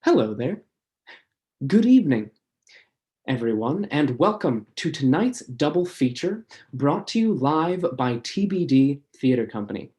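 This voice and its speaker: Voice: medium pitch, big pitch swings, a little slow, a little mellow. Theater stream host, mid-range voice, scripted welcome to an audience online. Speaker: host introducing a live show. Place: online video-call stream.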